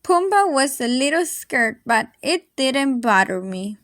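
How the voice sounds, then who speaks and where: A woman reading a children's story aloud in an expressive, dramatic storytelling manner. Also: high-pitched, measured pace, dry recording, clean studio sound. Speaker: storybook reader.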